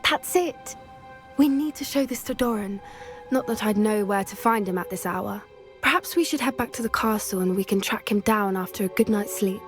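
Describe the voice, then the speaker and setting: A woman voicing a kind, earnest teenage witch in a video game, with a British accent and a gentle, confiding manner. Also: mid-range voice, moderate pace, soft background music, natural voice. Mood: thoughtful, eager. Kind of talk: video game cutscene acting.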